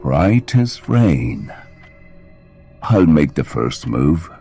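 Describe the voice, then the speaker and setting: Creepy dead dad, super deep husky voice, slow and taunting, audio drama villain. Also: male voice, very animated, warm tone, faint music behind, heavily acted. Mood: mocking, tender. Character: undead father with blank eyes, menacing, mockingly tender.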